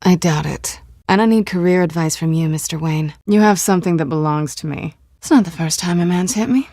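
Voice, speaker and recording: Sly flirty thief lady for a game, lowish mellow voice, teasing but guarded, super dry sound. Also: brisk, no echo. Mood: cold, defiant. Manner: teasing, self-assured, guarded. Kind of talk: video game voice acting.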